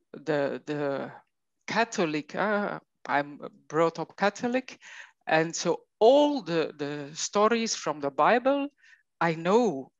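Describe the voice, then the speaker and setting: Middle-aged flemish belgian woman, coach being interviewed, real slow and careful, dry sound. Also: on the low side, no room sound, lo-fi sound. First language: Dutch. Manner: slow, thoughtful, with frequent fillers. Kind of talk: conversational interview.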